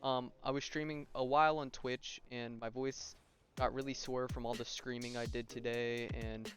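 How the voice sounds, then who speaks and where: A young man with a mid-pitched voice talks through a gaming tutorial in a casual, informal way. He addresses viewers directly and checks in as he explains. Faint music plays behind him. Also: level tone, normal speed. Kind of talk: casual video game tutorial commentary.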